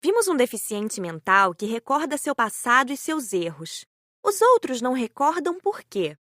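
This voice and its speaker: A woman's voice: sits high, very animated, moderate pace, a bit bright, no echo, clean studio sound. A woman reading scripted first-person narration as an audiobook narrator.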